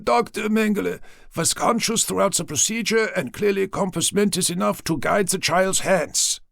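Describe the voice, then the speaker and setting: A man's voice: sits high, moderate pace, creaky, fairly bright tone, no echo, clean studio sound, voice pushed up. A man voicing a cold, self-assured old German man reading notes clinically.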